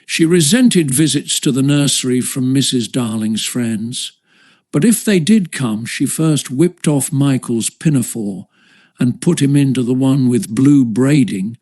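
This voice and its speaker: A man's voice: medium pitch, lively intonation, unhurried, warm tone. A man reading a children's story as scripted audiobook narration.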